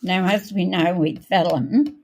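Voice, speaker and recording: A woman with a croaky voice hosting a casual live craft demonstration, thinking aloud as she works and sometimes clearing her throat. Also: lowish voice, a little mellow, slight background noise. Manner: unhurried, thinking aloud while working. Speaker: craft tutorial host.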